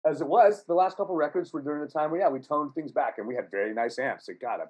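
A man chats about music in a loose, rambling way, his voice husky and a little breathy. He swears freely and laughs often as he talks through his thoughts. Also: a little high, fast talker, a little mellow. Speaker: musician guest. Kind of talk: casual podcast chat.

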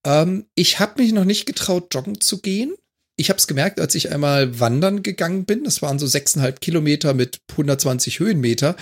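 A man's voice: a little high, lively intonation, fairly quick. A man still coughing weeks after an illness, chatting casually and matter-of-fact. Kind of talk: casual chat.

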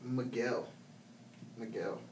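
A man's voice: medium pitch, slow pace. A man talking as an interviewed musician in casual, slangy conversation. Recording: echoey, noisy room, distant mic.

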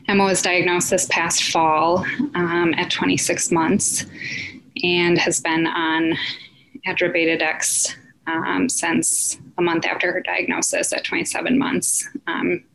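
Low, gravelly, flat-toned woman. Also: slight background noise, poor audio. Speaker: parent panelist.

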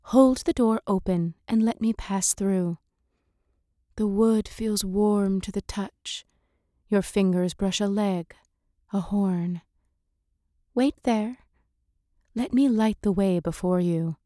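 A woman narrating literary fiction in a measured, intimate manner, as if addressing one listener. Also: mid-range voice, warm tone, no room sound, studio quality. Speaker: story narrator. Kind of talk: scripted literary fiction narration, second person.

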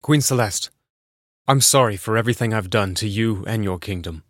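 Fantasy noble, male actor: lowish, formal, apologetic, sincere, dry recording. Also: moderate pace, a bit bright, no echo, close to an everyday voice. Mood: remorseful. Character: humbled, remorseful noble addressing a queen. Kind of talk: scripted fantasy character demo.

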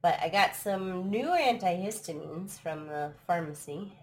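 A woman's voice: on the low side, expressive, measured pace, a little hiss or hum. Chatty throaty woman host. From United States. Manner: chatty, laughs often, many restarts. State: throaty from allergies. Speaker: live stream podcast host. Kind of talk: casual chat. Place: at home abroad, live stream.

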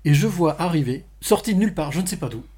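A man's voice: a little high, lively intonation, quick pace, mellow, slightly rough. A man hosting a conversational podcast interview, often repeating and rephrasing as he goes. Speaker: podcast host.

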